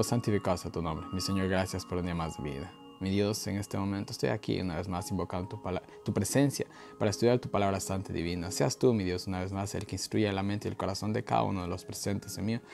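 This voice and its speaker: Ecuadorian man, informal halting talk over music. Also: low voice, vocal fry, a little mellow. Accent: Ecuadorian Spanish. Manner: conversational, colloquial, halting with many filler words. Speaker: online Bible study leader. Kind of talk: informal Bible study talk, verse-by-verse commentary. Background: background music.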